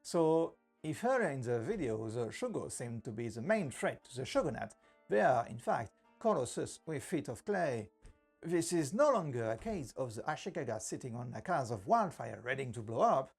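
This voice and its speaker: A man's voice: medium pitch, very animated, normal speed, gravelly, breathy edge, soft background music. A man from France with a French accent narrating a history video, with wry joking asides. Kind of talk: scripted narration.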